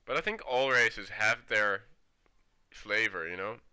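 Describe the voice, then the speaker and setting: A young man from the Netherlands with a Dutch accent, chatting casually as a streamer while he plays, relaxed and laughing often. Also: on the low side, unhurried, smooth, poor audio. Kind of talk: casual gameplay commentary.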